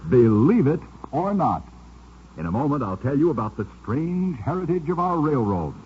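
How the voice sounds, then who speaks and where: A dull, noisy vintage radio recording carries a man telling curious tales. His voice sits slightly high and swings in pitch with dramatic emphasis. He reads as a scripted narrator, stressing each point. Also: very animated, moderate pace.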